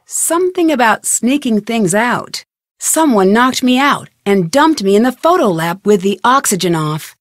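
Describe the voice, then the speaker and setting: A woman's voice: fairly high pitch, lively intonation, unhurried, no room sound, clean studio sound. A woman playing an inquisitive, level-headed young detective in an adventure game, speaking in an earnest, conversational, matter-of-fact way. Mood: concerned, matter-of-fact.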